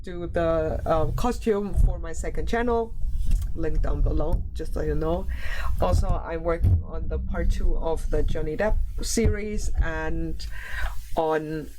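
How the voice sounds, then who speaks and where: A woman's voice: deep voice, a little slow, noisy background. A woman hosting a casual commentary video, a little sick so her voice sounds slightly off, chatty and giggly. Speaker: YouTube commentary host. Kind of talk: casual talk to camera.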